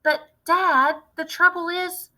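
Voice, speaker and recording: A woman's voice: very high-pitched, steady tone, slow pace, pushed and bright, voice pushed up, full character voice. A woman voicing a sensible, hesitant young farm boy in a storybook, questioning and pleading as he tries to object. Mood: pleading, worried. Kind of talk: storybook character read-aloud.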